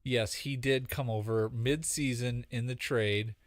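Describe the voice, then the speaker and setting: A male podcast co-host with a medium-pitched voice. He chimes in with brief, dry quips and sports facts. The recording is close and dry. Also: fairly even intonation, unhurried, no echo. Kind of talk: casual podcast chat.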